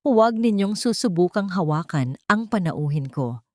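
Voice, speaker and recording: A woman's voice: fairly low pitch, lively intonation, normal speed, dry recording, studio quality, natural voice. A woman with a Filipino accent voicing a cold, commanding, protective billionaire man in an audiobook story. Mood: cold authority. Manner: firm, cold, formal.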